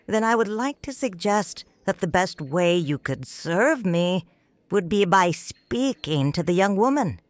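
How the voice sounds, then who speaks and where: A woman's voice: on the low side, no room sound. A woman narrating a first-person story audiobook in a grave, tender way, close to the mic.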